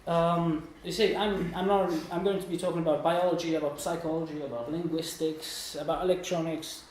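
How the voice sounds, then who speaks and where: Young guy, student from germany/italy doing a loose talk, kinda high voice, hesitant n rambly, super echoey room. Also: little pitch movement, brisk, darkish tone. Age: 20s. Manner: hesitant, rambling, self-deprecating. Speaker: student presenter giving a talk. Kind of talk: informal live presentation. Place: indoor room at a small meetup. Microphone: distant room microphone. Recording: echoey room, distant mic, background noise.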